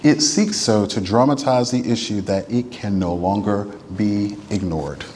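A man reading a written text aloud in a formal, oratorical style, with measured, deliberate and emphatic phrasing. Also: lowish voice, a little mellow, a little hiss or hum. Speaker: reader at a church event. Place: large church interior. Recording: reverberant large hall.

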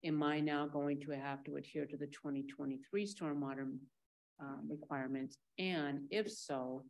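A woman's voice: low-pitched, fairly even intonation, normal speed, darkish tone, rough recording. A councilmember, a woman, asking staff questions in a direct, conversational way, thinking aloud as she goes.

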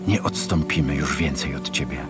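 An actor playing a reverent psalmist, solemnly imploring God in a dramatized audio Bible. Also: male voice, rumbling low, moderate pace. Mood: reverent pleading. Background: music.

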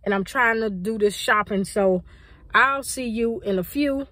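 A woman's voice: a little high, a little slow, no echo, clean studio sound. Chatty woman vlogging from her car. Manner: rambling, animated, talks with her hands. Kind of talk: casual chatty vlog. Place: parked car, indoors. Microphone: phone at arm's length.

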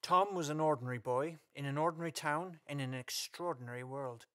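A man's voice: a little high, brisk, pushed and bright. A man narrating a story in a scripted radio play.

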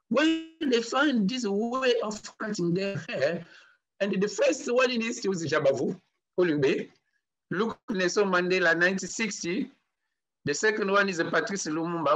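High-voiced man lecturing hesitantly. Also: a bit bright. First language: Sakata. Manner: halting, many fillers and restarts, emphatic on key points. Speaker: guest speaker. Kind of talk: online lecture with slides. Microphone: video call. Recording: dull lo-fi video call audio.

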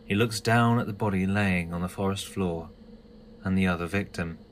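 A man narrates an audio drama from a script in a fairly low voice. Clear ambient noise runs behind him. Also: normal speed.

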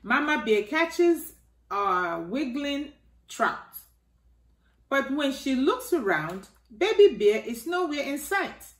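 Slow, animated woman reading to children. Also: sits high. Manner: deliberate, expressive phrasing for children. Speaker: storytime host. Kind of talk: expressive children's picture-book reading. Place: small living room by staircase, indoors. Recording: roomy home echo.

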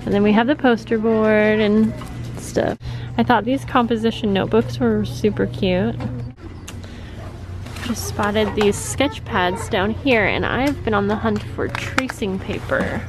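A woman vlogging casually as she shops, talking informally and in a friendly way to her viewers. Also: mid-range voice. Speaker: vlogger, host. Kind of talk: casual chatty shop-along and haul commentary. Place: large discount store, indoors. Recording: noisy store ambience.

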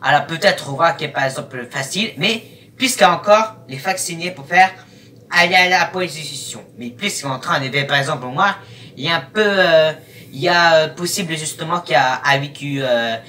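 A young man giving casual reaction commentary in a halting, rambling, disjointed way. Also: a little high, bright, forceful tone. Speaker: YouTube host. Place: small room at home, indoors. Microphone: webcam at arm's length or more. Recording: noisy webcam, distant from the mic.

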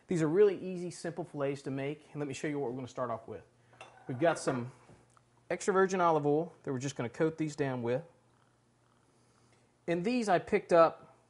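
A man's voice: on the high side, a little mellow. Chatty middle-aged man cooking at home. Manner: chatty, enthusiastic, talks while working. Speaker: cooking show host. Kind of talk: casual cooking demonstration. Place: large home kitchen.

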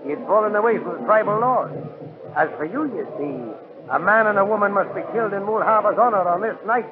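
A sinister, courteous schemer from a 1940s radio play. His high voice gloats with oily formality. The sound is tinny and very noisy, like an old broadcast. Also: fast talker. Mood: gloating menace. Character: smug, sinister villain, genteel male diamond schemer.

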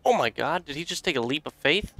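Bright-voiced young man, joking as he plays. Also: fairly high pitch, quick pace. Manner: excitable, jokey, swears freely. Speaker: let's play gamer. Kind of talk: casual gameplay commentary.

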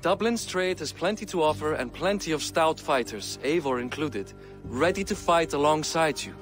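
An actor playing an earnest, diplomatic middle-aged warrior king with a grave, deliberate delivery in a video game. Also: fairly high pitch, lively intonation, soft background music, close to an everyday voice. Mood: courteous, earnest. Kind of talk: video game cutscene acting.

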